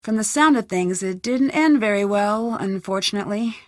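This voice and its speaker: An actress playing a polite, persistent young female detective in a video game, asking questions in an earnest, measured way. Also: medium pitch, animated, no room sound, clean studio sound, natural voice. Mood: curious. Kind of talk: video game character acting.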